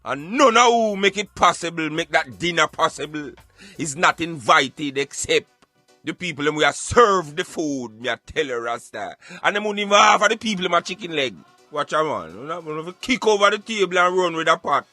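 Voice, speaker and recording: A man's voice: a little high, animated, moderate pace, pushed and bright, no room sound, soft background music. A man with a Jamaican accent hosting a radio show, giving emphatic, colloquial satirical commentary in a conversational way. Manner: emphatic, colloquial, addressing listener as brethren.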